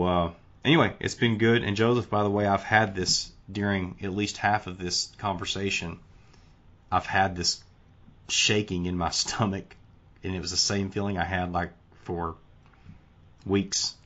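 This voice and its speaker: A man's voice: low-pitched, level tone, a little slow, fairly bright tone, dull audio. A tired male podcast host talking casually late at night, folksy and rambling with restarts. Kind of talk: casual conversation.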